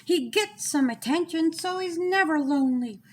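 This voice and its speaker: A woman reading her own rhyming children's story in a deliberate, singsong storytelling manner. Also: very high-pitched, lively intonation, a little mellow, a little hiss or hum.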